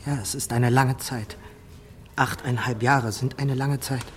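An actor playing a reserved, proud naval captain in a German period radio play, with a measured, restrained delivery. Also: male voice, medium pitch, steady tone, close to an everyday voice. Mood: restrained, pointed.

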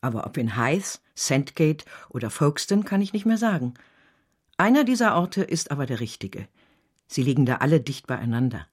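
Husky, tender nursemaid. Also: female voice, extremely low pitch, big pitch swings, moderate pace, full character voice. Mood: tender, informative. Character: affectionate, homely nursemaid woman reading her letter. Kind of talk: radio drama acting.

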